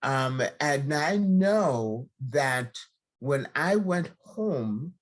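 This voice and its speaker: A woman from Nigeria, a county judge, speaking slowly and haltingly with many fillers on a video call. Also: low voice, animated. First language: a Nigerian language. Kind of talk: virtual panel remarks.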